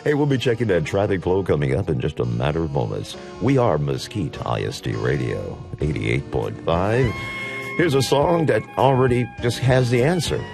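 A man with a very low, gravelly bass voice hosts a morning radio show, his pitch swinging widely as he jokes around. He talks in a playful, teasing way from the studio, with faint music underneath. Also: very animated, moderate pace. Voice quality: deep bass voice. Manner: playful, joking. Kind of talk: casual on-air banter.

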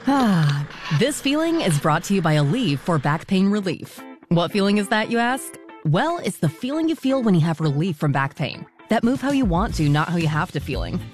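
A woman voicing an ad with a lower voice whose pitch moves a lot. Her read is breezy and playful, with faint music behind her. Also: big pitch swings, normal speed, darkish tone. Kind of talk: scripted ad narration.

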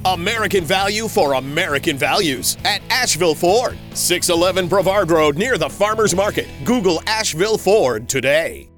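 A man reading a punchy, scripted radio ad. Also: high voice. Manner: punchy sales read. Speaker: ad announcer. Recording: music bed behind.

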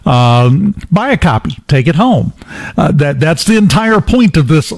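A man's voice: a little high, a little slow. Emphatic man hosting a radio chat. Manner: emphatic, animated, joking. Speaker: radio host. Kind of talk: casual radio interview.

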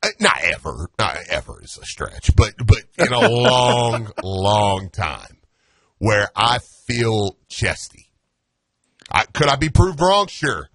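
Male sports talk host, low voice, husky, dry close sound, stammers and restarts. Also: sing-song, takes it slowly, a bit bright, dry recording.

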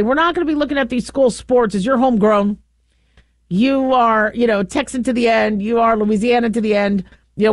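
A woman's voice: a little high, no room sound. Rambling woman radio talk host. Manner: rambling, many restarts and fillers. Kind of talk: casual call-in radio talk.

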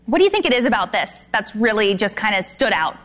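A woman's voice: mid-range voice, big pitch swings, fast talker, a bit bright. A woman anchoring a morning news show, friendly and upbeat as she interviews a guest.

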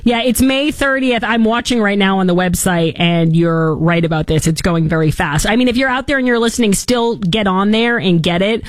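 A woman's voice: medium pitch, moderate pace, few pauses, dry recording. A woman hosting a talk radio show, upbeat and promotional, interviewing a caller. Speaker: radio host.

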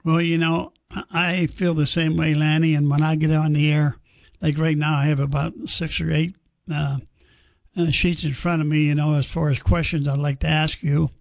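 A man's voice: on the high side, little pitch movement, normal speed, vocal fry, no echo. An older American man hosting a radio interview in a casual, chatty style.

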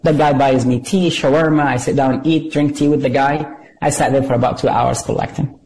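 A man from Egypt, telling his story as an interview guest in plain, halting English with frequent restarts. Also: medium pitch, fairly even intonation, a little mellow. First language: Arabic. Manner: plain-spoken, drops small words, frequent restarts. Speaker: guest, former intelligence operative.

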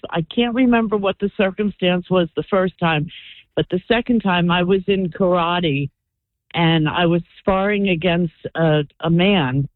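A woman's voice: fairly low pitch, dry recording. A woman calling in to a radio show, telling a story, chatty with hesitant starts, over the phone. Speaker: caller. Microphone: telephone. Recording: phone line.

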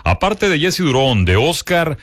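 A man's voice: on the high side, sing-song, unhurried, a bit bright, no room sound, studio quality. A man conducting a conversational interview, affirming his guest and feeling his way through his questions.

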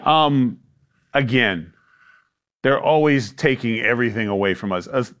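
A man on a talk show panel, rambling and animated, acting out little voices as he makes his point. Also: medium pitch, measured pace.

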